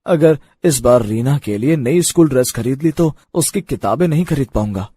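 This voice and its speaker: A weary, caring father who sells vegetables played by a man in a cartoon tale. His voice is mellow and husky, and he talks with earnest resignation. The recording is dry. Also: medium pitch, animated, normal speed, no echo. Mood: resigned. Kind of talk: children's cartoon story dialogue.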